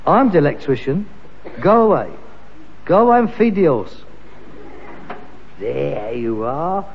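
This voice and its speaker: An actor playing a stubborn, defensive adult son in a 1960s radio sitcom. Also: male voice, fairly high pitch, big pitch swings, a little slow, gravelly, heavily acted. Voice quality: defensive, exasperated. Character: touchy adult son, proud of his handiwork and stubborn. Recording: dull old radio recording with long reverb and faint hiss.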